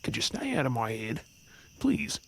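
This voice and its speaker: A man's voice: mid-range voice, wildly swooping pitch, moderate pace, vocal fry, husky, heavily acted. A man playing an earnest, brooding werewolf, weary of hiding, in a supernatural ASMR audio drama. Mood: exasperated, grateful. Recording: faint noise with chirping night insects.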